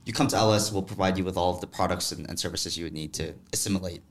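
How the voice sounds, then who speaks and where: Low-voiced male founder, matter-of-fact. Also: rapid-fire. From Vietnam. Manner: fluent, matter-of-fact, with "um" fillers. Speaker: startup founder, guest. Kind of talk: interview answers. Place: studio.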